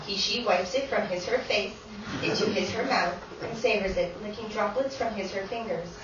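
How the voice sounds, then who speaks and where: A woman's voice: mid-range voice, moderate pace, close to an everyday voice. A woman acting as narrator, reading stage directions aloud in a wry, matter-of-fact way. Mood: wry, narrating. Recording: echoey theatre from far off, dull, noisy.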